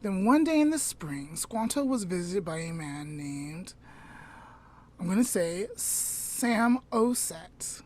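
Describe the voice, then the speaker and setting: A woman with a mid-range voice tells a story at an unhurried pace to her podcast co-host. She lets her lines trail off into a soft near-whisper. Between the story beats she adds chatty asides and corrects herself. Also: mid-range voice, very animated.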